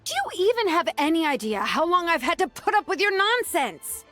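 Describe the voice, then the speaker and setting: A woman's voice: extremely high pitch, big pitch swings, moderate pace, sharp tone, soft background music, heavily acted. A woman voicing an exasperated, blunt young craftswoman in a video game, scolding with emphasis.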